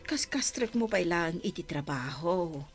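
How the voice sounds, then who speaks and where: Affectionate middle-aged mother, tender, expressive pitch swings, Ilocano radio serial. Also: moderate pace, faint music behind. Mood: tender, motherly. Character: affectionate, gentle mother of grown daughter. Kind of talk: Ilocano radio drama acting.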